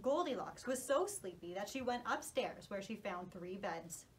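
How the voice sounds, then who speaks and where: A woman narrating a children's story as a puppet show host, animated and reassuring. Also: medium pitch, moderate pace, a little hiss or hum.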